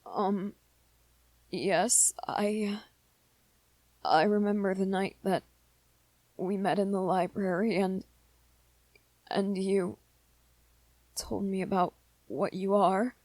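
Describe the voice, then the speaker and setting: Woman playing young male vampire boyfriend, ASMR roleplay, soft-spoken, anxious, hesitant, unhurried pace, dry intimate sound. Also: mid-range voice, fairly even intonation, dry recording. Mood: nervous, hesitant. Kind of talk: ASMR roleplay, scripted one-sided dialogue to listener.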